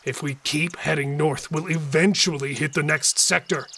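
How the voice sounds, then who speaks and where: Guy doing gravelly young survivor dude, bright tense voice, earnest. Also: on the high side, fairly even intonation, moderate pace. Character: Cole, young male survivor, ex-delivery driver.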